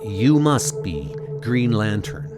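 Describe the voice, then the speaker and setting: A man playing a calm, solemn cosmic guardian with a slow, measured delivery in a comic dub. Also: on the low side, over music.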